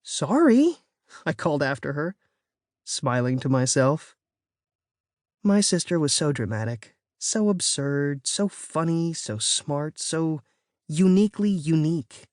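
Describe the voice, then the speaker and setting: A narrator reading a children's novel in an expressive, first-person storytelling way. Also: mid-range voice, measured pace, dry recording, clean studio sound. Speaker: audiobook narrator. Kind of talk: scripted audiobook narration.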